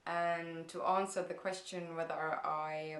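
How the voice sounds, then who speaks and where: A young woman with an Estonian accent talks slowly and thoughtfully in English, pausing often with soft "um"s. Her voice sits a little low, and the room around her adds a noticeable echo. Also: little pitch movement. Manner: hesitant, many "um"s, slow and thoughtful. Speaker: vlogger. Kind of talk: casual Q&A vlog. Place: parents' house, indoors.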